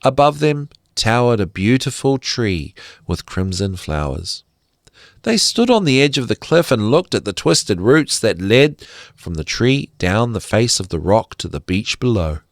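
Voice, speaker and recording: Male fairy-tale narrator, lowish voice, dry close sound, expressive and deliberate. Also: dry recording. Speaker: storyteller.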